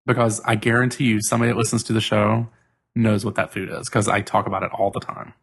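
A man's voice: lowish voice, flat delivery, quick pace, fairly bright tone, husky. A man co-hosting a podcast in casual, joking chat, laughing often and steering the segment. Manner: laughs often, bossy banter. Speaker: podcast co-host.

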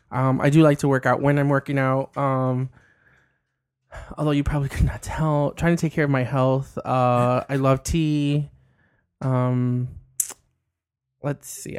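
A man's voice: medium pitch, clear, resonant tone. A young man chatting casually as a podcast guest, laughing often and swearing offhandedly. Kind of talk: casual chat.

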